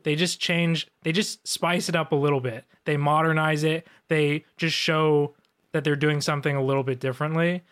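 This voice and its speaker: A man's voice: on the high side, steady tone, normal speed, fairly bright tone. A man who works as a designer, answering questions on a live show in a laid-back way with lots of fillers. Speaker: guest designer. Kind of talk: casual live Q&A.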